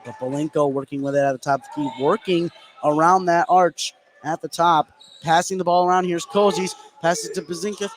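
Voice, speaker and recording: A man's voice: a little high, fairly even intonation, a little fast, background noise. A man calling live radio basketball play-by-play in a running narration that follows the action. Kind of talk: live radio sports commentary.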